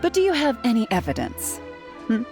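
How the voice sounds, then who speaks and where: A woman's voice: on the high side, huge pitch swings, brisk, a little mellow. A woman playing a sly, haughty suspect in a video game, taunting and condescending as she feigns innocence. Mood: mocking. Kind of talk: scripted video game dialogue. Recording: music behind the voice.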